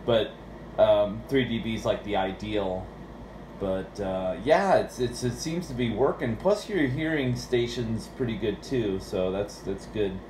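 A man's voice: on the low side, measured pace. An American man chatting casually and at rambling length about technical matters as a radio operator. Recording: roomy, with background radio noise.

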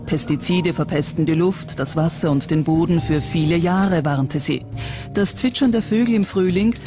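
This is a documentary narrator delivering a prepared script. The voice sits at a middle pitch, and music plays clearly behind it throughout. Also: normal speed. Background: background music.

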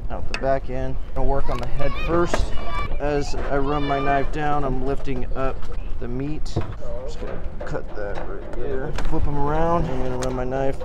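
A man calmly explaining how to fillet a fish at an outdoor cleaning table on a dock. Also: medium pitch, moderate pace. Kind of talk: step-by-step instructions. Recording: loud outdoor noise.

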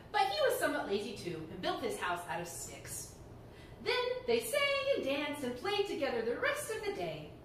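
A young woman reading a story aloud to children in a theatrical, expressive, emphatic way. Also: high-pitched. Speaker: library storyteller, reader. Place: indoor room before folding screen. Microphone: camera microphone a few metres away. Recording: echoey room, distant mic, background noise.

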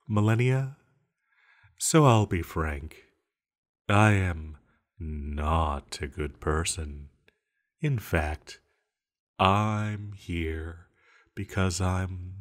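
A man playing an ancient banished sorcerer villain in an intimate audio roleplay, musing calmly and haltingly with quiet menace. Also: sits low. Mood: wry, wistful, then grave, menacing. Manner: slow, halting, musing with sighs and hums.

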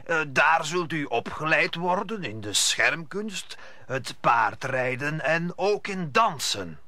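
Slow, commanding musketeer captain. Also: male voice, fairly high pitch, big pitch swings, bright, forceful tone, no echo, full character voice. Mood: formal, probing. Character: grave, authoritative male captain of the royal musketeers. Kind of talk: audio drama acting.

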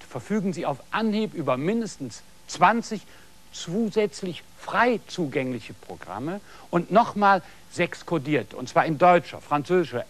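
A middle-aged man hosting a TV hobby show, presenting to viewers from a studio set dressed as a patio, on a lapel mic. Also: a little high. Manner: didactic, addresses viewers directly. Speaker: TV host.

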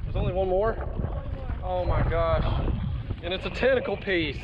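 A high-voiced man talks casually and excitably, repeating short phrases as he goes. He is outdoors on the water, caught on a dull action-camera mic with loud wind and water noise. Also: normal speed. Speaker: vlogger fishing from a jet ski. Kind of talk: casual running commentary while fishing. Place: outdoors on a jet ski at sea. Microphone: action camera worn or held close.

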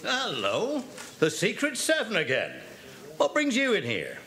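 A man voicing a brisk middle-aged police inspector in an audio drama, who asks questions in a friendly, businesslike way. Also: high voice, wildly swooping pitch, vocal fry, crowd noise, voice pushed up, heavily acted.

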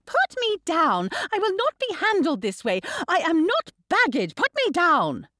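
A woman's voice: extremely high pitch, wildly swooping pitch, a little slow, pushed and bright, dry recording, studio quality, pitched above the performer's own voice, exaggerated voice. A woman voicing a spoiled teenage girl in an audiobook, petulant, haughty and indignant.

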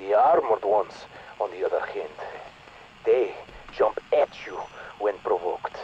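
An actor playing an earnest male Russian scientist speaking over a radio, with a Russian accent and a slow, measured manner. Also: fairly low pitch, warm tone, dull audio, slight background noise, natural voice. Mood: wry warning. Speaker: in-game radio character. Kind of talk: recorded game dialogue.

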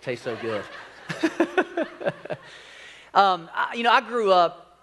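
A man's voice: high voice, huge pitch swings, extremely slow, pushed and bright, a little hiss or hum. A man in his forties, a senior pastor preaching on a church stage in a folksy, joking way that draws in the crowd. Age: 40s. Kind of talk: sermon. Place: large church stage with screens.

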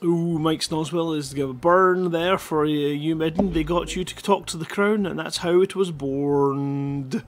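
A man's voice: a little high, unhurried, hardly any pauses. A man in his thirties casually hosting a live story-reading show, speaking into a headset. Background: faint music.

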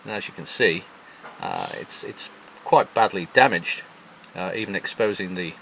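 A man's voice: sits low, moderate pace, gravelly, sharp tone, slight background noise, rough recording. A man in his fifties explaining things casually to camera as a sailing vlog host. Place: outdoors at a marina and aboard a sailboat.

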